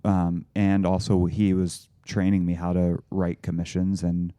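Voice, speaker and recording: A man's voice: low-pitched, completely flat delivery, unhurried, mellow, no echo. A man co-hosting a church podcast, talking in a rambling, casual way with lots of restarts and fillers. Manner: rambling, frequent restarts and fillers, laughs easily. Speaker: pastor and podcast co-host. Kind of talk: casual discussion.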